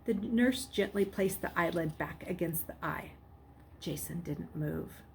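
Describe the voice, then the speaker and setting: A woman narrating a novel aloud in an expressive, dramatic storybook style. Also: lowish voice, measured pace. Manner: dramatic, acts out the characters. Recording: clearly noisy background.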